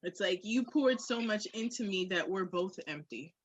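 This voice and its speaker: A woman's voice: mid-range voice, lively intonation, moderate pace, breathy edge, dry recording, dull audio. A woman chatting candidly and casually on a podcast, emphatic and slangy with punchy phrasing.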